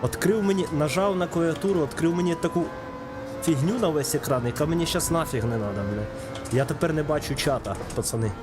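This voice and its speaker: Guy streaming a racing game, chatty, cusses, music loud behind him. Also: fairly high pitch, quick pace. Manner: chatty, swears, talks to chat by name. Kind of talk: casual live-stream chat while racing. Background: game music and engine sounds.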